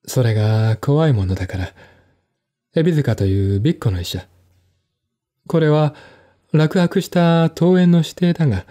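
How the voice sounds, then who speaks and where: A man playing a composed, articulate man in a one-man audio drama, explaining things in a measured, reflective way. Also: mid-range voice, warm tone, natural voice. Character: composed, articulate man recounting his stepmother's death.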